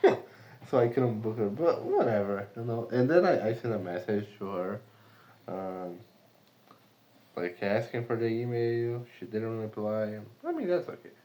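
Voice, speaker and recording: A man's voice: fairly low pitch, animated, unhurried, dark tone. A man from Brazil, a remote sales rep speaking English with a Brazilian Portuguese accent, rambling casually with lots of fillers and swearing. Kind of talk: casual vlog monologue.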